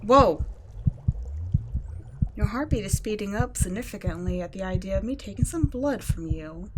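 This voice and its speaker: A woman voicing a cold, teasing female vampire scientist in an ASMR roleplay, speaking softly and often in a whisper, mocking and condescending. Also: medium pitch, lively intonation, moderate pace, very noisy, close to an everyday voice. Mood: clinical. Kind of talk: ASMR roleplay audio drama.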